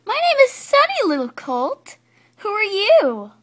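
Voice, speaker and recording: A woman's voice: high-pitched, animated, normal speed. A woman voicing an overexcited, silly female horse who gushes and exclaims in a singsong way in an animal story. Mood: gushing, delighted. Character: overexcited, silly early-bird female horse, bubbly and apologetic. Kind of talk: animated character acting in an amateur animal story.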